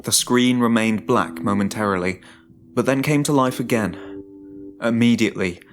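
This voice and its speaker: A man giving a dramatic, suspenseful first-person narration of a horror story, in a deliberate manner. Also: medium pitch. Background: music.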